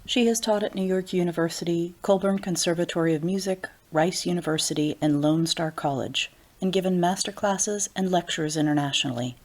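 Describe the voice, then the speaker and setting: A woman hosting an interview, first reading out a formal guest introduction and then asking questions in a measured, encouraging way. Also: fairly low pitch, a little mellow. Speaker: interview host.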